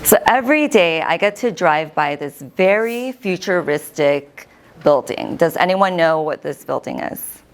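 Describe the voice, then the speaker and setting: An American woman in her thirties, a host giving a lively personal talk to an audience and often quoting herself. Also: fairly low pitch, unhurried. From United States. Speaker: host and keynote speaker.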